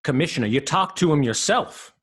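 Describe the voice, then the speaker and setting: Man as slangy police detective, old radio serial, husky voice, excitable swinging pitch, defensive. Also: brisk. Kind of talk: old-time radio drama acting.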